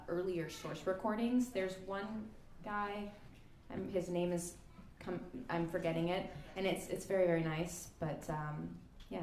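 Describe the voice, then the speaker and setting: A woman's voice: on the low side, a little mellow, slight background noise. A young woman leading a music workshop on a stage mic, chatty and upbeat, counting the band in. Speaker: workshop leader, klezmer musician. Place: community center hall. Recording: roomy hall.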